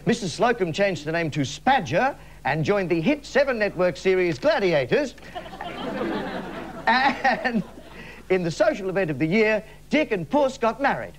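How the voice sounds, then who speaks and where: A man's voice: sits high, very animated, unhurried, a crowd in the background. An Australian man hosting live TV comedy, telling a mock bedtime story with campy, cheeky asides, on a noisy recording. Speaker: comedy show host and storyteller. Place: television studio, live. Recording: noisy live TV studio sound.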